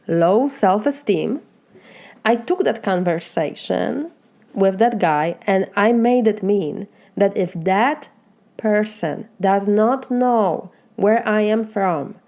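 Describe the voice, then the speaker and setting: A woman with a Polish accent gives a motivational talk as a life coach, in a mellow, darkish voice with a gravelly edge. She speaks slowly and earnestly. Her talk moves through one reflective question after another. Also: fairly high pitch. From Poland. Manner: asks many reflective questions, slow and earnest.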